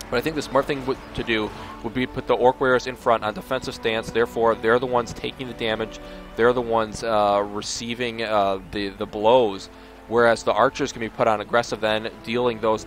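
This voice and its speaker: Male game commentator, slightly low and scratchy voice, analytical delivery, clear music bed underneath. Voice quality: a little scratchy. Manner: analytical, explanatory. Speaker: esports replay commentator. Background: video game music.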